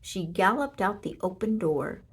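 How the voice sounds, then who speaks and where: A woman narrating a children's storybook aloud, with expressive, deliberate delivery and emphasis on short sentences. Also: medium pitch, slightly rough. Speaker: storybook reader.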